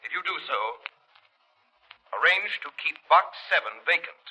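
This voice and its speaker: A man's voice: fairly high pitch, expressive, moderate pace, bright, forceful tone, breathy edge, full character voice, poor audio. A man playing a mysterious, confident crime-fighting avenger in a 1930s radio drama, speaking with ominous, commanding deliberation. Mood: commanding, assured. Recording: vintage 1930s radio broadcast.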